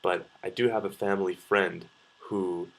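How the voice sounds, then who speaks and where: A young Canadian man with a fairly low voice talks casually to his viewers, as a video blogger would. He shares opinions in a loose, rambling way, hesitating and breaking into his own sentences as he goes. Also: fairly even intonation, moderate pace, gravelly, fairly bright tone, poor audio. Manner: hesitant, rambling, self-interrupting. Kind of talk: casual opinion vlog, talking to camera.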